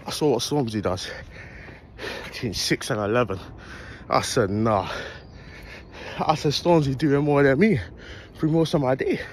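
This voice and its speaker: A man's voice: medium pitch, a little slow, long pauses, slight background noise. Young London man vlogging outdoors on a phone. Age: young adult. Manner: slangy, chatty, animated asides. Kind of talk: casual vlog talk to camera. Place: outdoors in a park and streets at dawn, also a bedroom. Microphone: phone held at arm's length.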